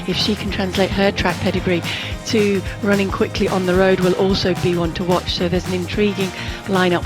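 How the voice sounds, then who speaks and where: Female race analyst, lowish voice, measured live commentary with music underneath.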